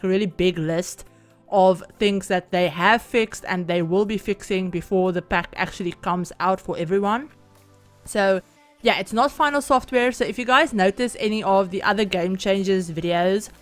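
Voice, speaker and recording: Chatty Afrikaans-speaking woman, rambling voiceover. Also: mid-range voice. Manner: rambling, chatty, many "like" and "yeah" fillers. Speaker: Sims speed-build YouTuber. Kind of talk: casual game voiceover. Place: home, at night.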